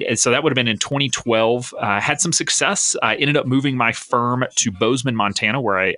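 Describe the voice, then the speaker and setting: A man's voice: medium pitch, bright, forceful tone, no room sound. A man in his thirties from the American South with a faint Southern accent, a guest telling his career story energetically and laughing easily. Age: 30s. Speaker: guest, company founder. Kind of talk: interview storytelling.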